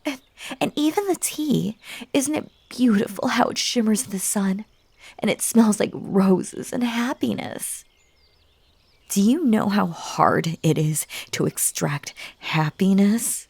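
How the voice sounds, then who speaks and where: Breathy, clingy yandere bunny girl, acted by a woman. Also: medium pitch, expressive, slow pace, darkish tone, heavily acted. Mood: giddy, nervous. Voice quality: breathy, dropping into whispers. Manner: coaxing, gushing, stammering, abruptly stern. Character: clingy, unhinged yandere magical bunny woman, sweetly menacing. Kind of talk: roleplay audio drama acting.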